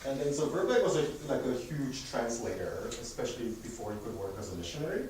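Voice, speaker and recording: A man's voice: medium pitch, lively intonation, fast. A man leading an adult church history class, speaking casually with many fillers and self-corrections; his first language is not English. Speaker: adult education class leader. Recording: echoey room from far off, noisy.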